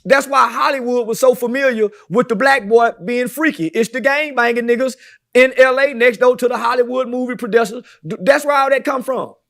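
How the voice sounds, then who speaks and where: A man's voice: very high-pitched, fairly quick, gravelly, fairly bright tone. A man talking brashly as a podcast guest, boastful and preachy, swearing constantly.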